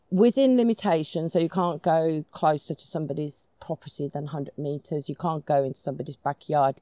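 Low-voiced Finnish woman, unhurried. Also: dark tone, dry recording. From Finland. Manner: thoughtful, with frequent ums and restarts. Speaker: guest, society chairman. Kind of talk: conversational interview. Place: outdoors in a forest.

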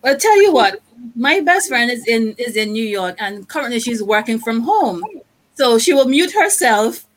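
A woman's voice: a little high, sing-song, normal speed, poor audio. A woman on an online panel telling anecdotes, giggling often and chiming in with agreement. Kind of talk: casual group chat online.

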